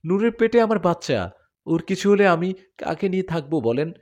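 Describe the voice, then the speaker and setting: A man's voice: high-pitched, big pitch swings, moderate pace, darkish tone, voice pushed up. A man voicing a devoted young male jinn warrior who pleads and argues in a spoken story. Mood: earnest. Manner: earnest, pleading.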